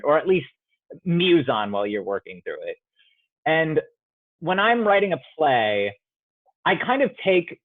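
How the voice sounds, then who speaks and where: A man's voice: medium pitch, dry recording. A male playwright answering interview questions thoughtfully, often restarting as he searches for words, heard over a video call. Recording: dry tinny phone-like audio.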